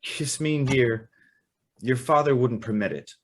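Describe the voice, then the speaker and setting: A man's voice: mid-range voice, measured pace, close to an everyday voice. A man acting a bewildered, earnest young man who tells and lives his story in a radio drama, a little hesitant. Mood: alarmed. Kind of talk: old-time radio drama acting and first-person narration.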